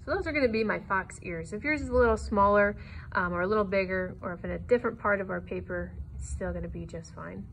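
A woman's voice: mid-range voice, quick pace, creaky, fairly bright tone. A woman with a mid-range voice teaches drawing one step at a time. She is encouraging and easygoing in how she instructs. There is faint room noise behind her voice. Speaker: art teacher.

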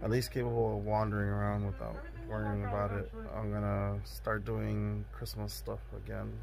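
A young man with a hoarse, raspy voice, recording a casual video diary close to his phone camera in a bedroom. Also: fairly low pitch, monotone, music in the background. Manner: slow, subdued, many fillers. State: hoarse from illness. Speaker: vlogger. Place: bedroom indoors. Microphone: phone camera close to face.

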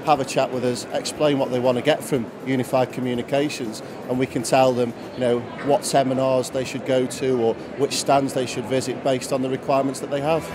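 A man's voice: mid-range voice, flat delivery, a little fast, hardly any pauses, background noise. A man explaining how he helps visitors plan their day at an event. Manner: helpful, explanatory. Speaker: event guide.

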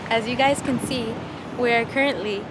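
A woman's voice: a little high, moderate pace. A young woman filming a casual travel vlog to camera, playful and chatty as she walks around a city. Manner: playful, chatty, talks to camera while walking. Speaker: vlogger. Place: outdoors in a city and parks. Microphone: phone camera at arm's length. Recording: loud outdoor noise.

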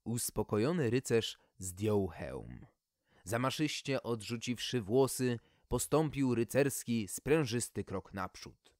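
A man's voice: medium pitch, lively intonation, slow pace, darkish tone, no room sound, clean studio sound. A man reading a scripted fairy tale expressively, as an audiobook storyteller.

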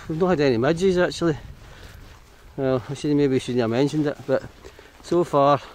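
A man's voice: mid-range voice, darkish tone, a little hiss or hum. A Scottish man vlogging while he hikes along hill paths, chatty and rambling, correcting himself as he goes. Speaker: hiking vlogger. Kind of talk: casual walking commentary.

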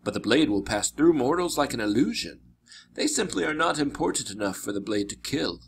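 Man voicing a grave, kindly older mentor, gravelly. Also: mid-range voice, animated, brisk, a little hiss or hum. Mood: solemn, firm.

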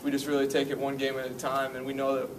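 A young man, a senior college basketball player, giving measured postgame answers to reporters with frequent "uh" fillers. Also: mid-range voice. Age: young adult. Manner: steady, measured team talk with "uh" fillers. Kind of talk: postgame press conference answers. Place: press conference room. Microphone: table mic, picked up from a distance. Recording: distant mic, noisy room.

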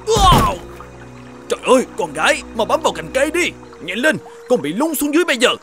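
A man's voice: very high voice, sing-song, a little fast, music in the background. An actor playing a hot-tempered, domineering middle-aged innkeeper and vengeful father in a cartoon folk tale, blustering and threatening. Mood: desperate, anguished.

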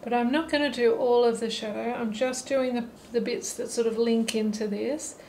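Gravelly-voiced woman teaching watercolour. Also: a little high, normal speed, slight background noise. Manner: relaxed, thinking aloud while painting. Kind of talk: painting tutorial narration. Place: holiday apartment, at a table indoors. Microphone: camera mic above the table.

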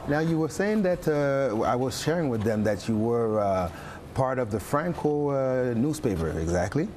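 A man's voice: medium pitch, animated, unhurried, darkish tone, a little hiss or hum. A middle-aged man hosting a casual studio interview on a lapel microphone. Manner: speaks English with occasional French words. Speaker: TV show host.

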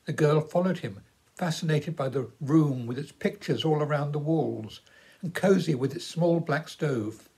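Older English man reading, fairly high voice. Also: measured pace, a little mellow, rough recording. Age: 70s. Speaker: storyteller. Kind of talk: storybook reading aloud. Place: living room at home, indoors.

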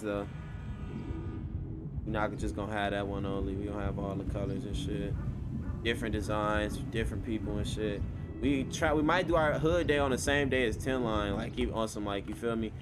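A man's voice: lowish voice, steady tone, a little fast. Brash, profane man over background noise. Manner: profane, brash street talk. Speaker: game streamer playing a gang member in roleplay. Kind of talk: casual slang-heavy in-game roleplay chat.

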